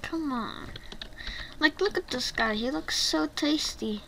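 High-pitched woman playfully commentating a game. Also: slow, bright, forceful tone. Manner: playful, teasing, mischievous. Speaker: gaming video creator. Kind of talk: casual gameplay commentary. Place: indoors at a computer. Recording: clear background noise, keyboard clicks.

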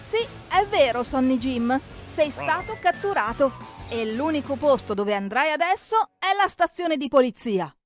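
A woman narrating a preschool cartoon in an expressive, exclamatory way, speaking to the characters. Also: high voice, a little slow. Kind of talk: lively scripted narration for preschoolers, talking to the characters. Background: background music.

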